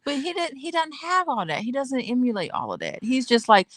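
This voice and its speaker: An American woman co-hosting a casual conversation, her pitch swinging widely as she makes her points. She sounds opinionated and expressive, often laughing, recorded dry and close. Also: brisk, no echo. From United States. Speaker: co-host. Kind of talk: casual chat.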